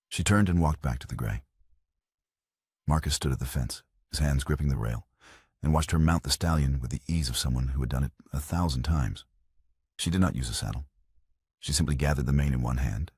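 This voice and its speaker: A man's voice: very deep voice, darkish tone, breathy edge, no room sound, studio quality. A man reading a scripted romance story as an audiobook narrator.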